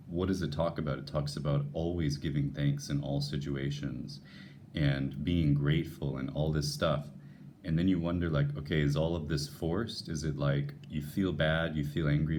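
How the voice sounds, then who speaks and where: A man with an unusually deep, radio-like voice, talking earnestly and musing to his viewers about faith, with frequent restarts. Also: a little mellow, a little hiss or hum. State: voice lowered by a passing illness. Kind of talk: unscripted devotional talk to viewers.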